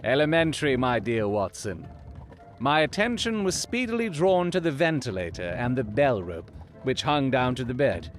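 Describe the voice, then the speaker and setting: A man's voice: medium pitch, big pitch swings, moderate pace, close to an everyday voice. Brilliant Victorian detective, male actor, audio drama. Formal, exact, coolly confident, mid-range voice. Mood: assured, explanatory. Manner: precise, theatrical, formal explaining.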